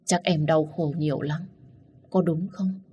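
A woman voicing a grieving, furious young man whose voice trembles and turns hoarse. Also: low voice, steady tone, measured pace, mellow, lo-fi sound, full character voice.

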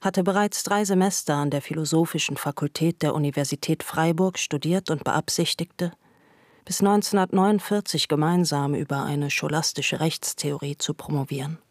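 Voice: medium pitch, fairly even intonation, moderate pace, no echo. Dry, close literary narrator. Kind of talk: scripted literary narration for a radio play.

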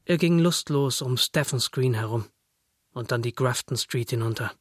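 Measured male narrator, very dry sound. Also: medium pitch, dry recording. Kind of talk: scripted literary narration. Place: dry studio.

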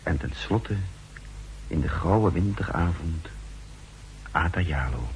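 An actor playing a wary, contemptuous secret agent who narrates his mission in a measured, literary way in a 1960s radio drama. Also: very deep voice, animated, heavily acted. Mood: grim, measured. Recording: noisy 1960s radio recording.

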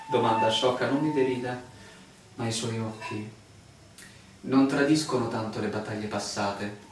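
A man's voice: mid-range voice, unhurried, pauses often, close to an everyday voice. Man acting probing stranger in an echoey room. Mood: intense, seductive. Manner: formal, questioning, hesitant asides. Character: newly arrived land surveyor, a probing, insistent male stranger. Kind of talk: stage-style Italian radio drama. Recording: echoey room, distant mic, faint noise, clicks.

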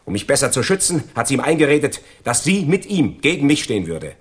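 An actor playing a gloating, envious crook in a German radio crime drama, sardonic and lecturing in a mock-polite way. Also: male voice, medium pitch, big pitch swings, fast, breathy edge. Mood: smug, sardonic. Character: gloating, envious male crook, betraying his friend.

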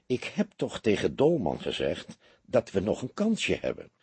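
Audiobook detective, middle-aged male narrator acting him: low, very husky, understated, weary and shrewd. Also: wildly swooping pitch, dry recording, full character voice. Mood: wry, confident. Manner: quiet, measured, understated. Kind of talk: audiobook character dialogue.

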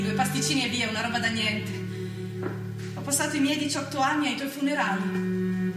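An actress playing a grieving, tender eighteen-year-old in a mournful, intimate radio drama monologue. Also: female voice, high-pitched, close to an everyday voice. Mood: grief-stricken. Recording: very echoey, distant, loud music behind.

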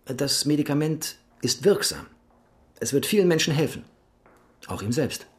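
An actor playing an earnest, measured doctor with a polite, guarded manner in a German radio drama. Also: male voice, a little high, big pitch swings. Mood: earnest, proud. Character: earnest male hospital doctor, polite, guarded, loyal brother.

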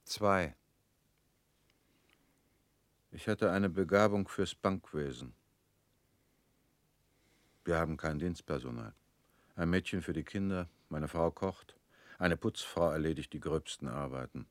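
A man's voice: a little slow, long pauses, dry recording. A weary, resigned family man. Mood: curt. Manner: flat, curt, often sinking quiet and low. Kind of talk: radio drama acting.

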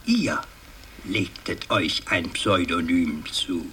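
An older aristocratic head of the family in a radio play, heard a little away from the mic over faint hiss. He is stern and fussy, with formal, halting phrases and a widely swinging pitch. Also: expressive, recorded from a distance. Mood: grave, disapproving. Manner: formal, pompous, halting with hums and pedantic repeats. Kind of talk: radio drama monologue, addressing a family meeting.

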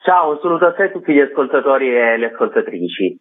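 A man's voice: mid-range voice, big pitch swings, quick pace. A man giving a prepared presentation as a guest in a radio interview. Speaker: radio guest, film distributor. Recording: tinny narrow phone line on radio.

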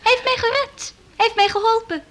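A woman's voice: very high voice, fairly even intonation, moderate pace, a bit bright. An actress playing a playful, headstrong young queen with a childlike manner in a Dutch radio play. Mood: proud, insistent. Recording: noisy old radio play recording.

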